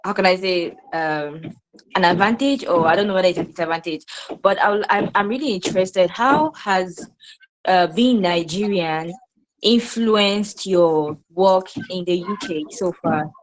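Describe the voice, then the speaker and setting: A woman's voice: lowish voice, a little slow, a little hiss or hum, rough recording. A woman, a program participant on a video call, hesitantly searching for words as she asks a question. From Cameroon.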